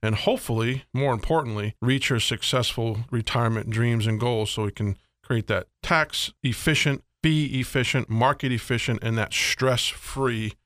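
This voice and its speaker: A man's voice: medium pitch, normal speed, few pauses, a bit bright, no echo, studio quality. A middle-aged American man hosting a radio show as a financial advisor, explaining things in a folksy, conversational sales style.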